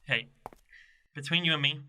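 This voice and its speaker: An actor playing a gruff, touchy and sarcastic police sergeant in an amateur radio play. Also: male voice, fairly high pitch, moderate pace, sharp tone. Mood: sardonic, impatient. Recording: faintly noisy amateur recording with clicks.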